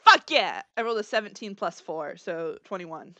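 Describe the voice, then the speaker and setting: A woman's voice: on the low side, animated, normal speed, pushed and bright. Woman player chatting at a tabletop game, blunt and casual, no room echo. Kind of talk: casual, lively game chat.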